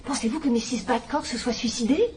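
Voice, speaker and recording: A woman's voice: on the high side, fairly quick, a crowd in the background, lightly acted. A woman doing a fussy patronizing companion. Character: fussy, condescending live-in female companion. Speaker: companion and housekeeper. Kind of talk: dubbed drama acting.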